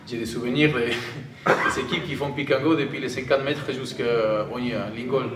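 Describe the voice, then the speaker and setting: A man with an Argentine Spanish accent, speaking halting non-native French as a rugby player answering press questions. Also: medium pitch, fairly even intonation, crowd noise. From Argentina (Tucumán, in the north). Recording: roomy, distant microphone, audible background noise.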